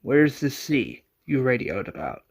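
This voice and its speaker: Storytelling man as militant soldier, brusque, demanding, mid-pitched, action story. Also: lively intonation, unhurried, vocal fry.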